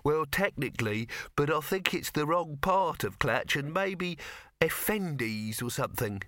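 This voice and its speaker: A man's voice: a little high, animated, measured pace, a bit bright, dry recording, studio quality, voice pushed up. A man voicing a skinny, earnest, pedantic youth in an audiobook, hesitant and forever correcting. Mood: doubtful. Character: skinny, earnest, pedantic male youth, timidly contradicting his boss.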